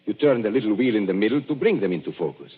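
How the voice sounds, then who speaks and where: An actor playing a shrewd gentleman detective, unmasking a killer in 1940s radio-drama style, measured and commanding. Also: lowish voice, lively intonation, breathy edge. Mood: calm, triumphant. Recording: muffled 1940s radio broadcast.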